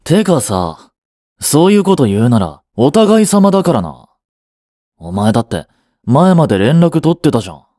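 A man's voice: on the high side, very animated, normal speed, mellow, no echo, clean studio sound. A man playing a curt, sulky young boyfriend in a romance audio drama, with a blunt, muttering manner. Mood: irritated, weary. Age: young adult. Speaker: voice actor.

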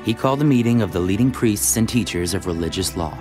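A man's voice: lowish voice, lively intonation, fast. A man reading scripted narration for a dramatized audio Bible. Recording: faint music behind the voice. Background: music.

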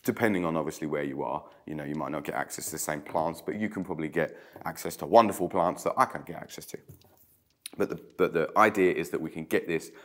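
A man in his thirties hosting a casual craft tutorial, croaky from a cold, chatting as he weaves in an old stone-walled room. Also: extremely low pitch, quick pace, a bit bright. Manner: chatty, hands busy weaving.

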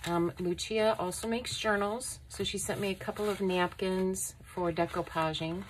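A woman vlogging a casual craft haul at her table, unhurried and admiring, with frequent ums. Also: medium pitch, fairly even intonation, slight background noise, low-quality recording. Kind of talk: casual show-and-tell haul. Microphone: overhead camera on a tabletop.

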